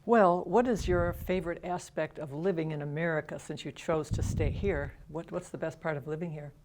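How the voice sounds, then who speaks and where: A woman's voice: low-pitched, normal speed, a little mellow, a little hiss or hum. A woman hosting a community television interview, prompting her guest with conversational questions. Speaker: interview host.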